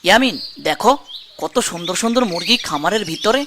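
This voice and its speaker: A woman voicing a caring young guardian in a children's cartoon, coaxing and gently teasing, with a Bangladeshi accent. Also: mid-range voice, lively intonation, a little fast, noisy background, close to an everyday voice.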